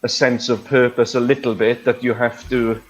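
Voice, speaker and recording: A man's voice: medium pitch, level tone, normal speed, lo-fi sound, a little hiss or hum. A middle-aged man hosting a conversational online English lesson, phrasing things hesitantly with tag questions. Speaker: English teacher, webinar host.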